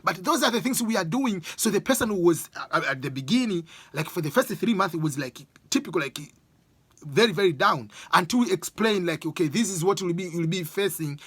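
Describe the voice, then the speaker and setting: A man's voice: high voice, lively intonation, brisk, a bit bright, husky, no echo. A man with an East African accent speaking English as a Swahili speaker, giving an informal opinion monologue and repeating phrases for emphasis.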